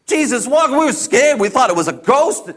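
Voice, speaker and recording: A man performs an impulsive disciple in a dramatic first-person Bible monologue. His voice sits high for a man. He stumbles and repeats phrases for emphasis, moving between hushed storytelling and loud, emotional outbursts. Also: sing-song, exaggerated voice. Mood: awed, scared. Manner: halting, repeating phrases, swinging from hushed to shouting.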